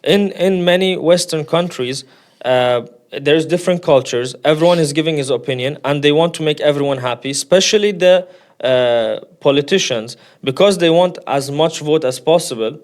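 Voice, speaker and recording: A man's voice: fairly high pitch, normal speed. A man speaking as a podcast guest in an opinionated discussion, making his points emphatically and repeating them.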